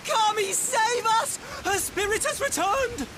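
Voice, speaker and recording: A woman's voice: very high voice, slow, background noise. An actress playing a fearful, outraged village woman in a game cutscene, dramatic and accusing. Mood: terrified. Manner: dramatic, aghast. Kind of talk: video game cutscene acting.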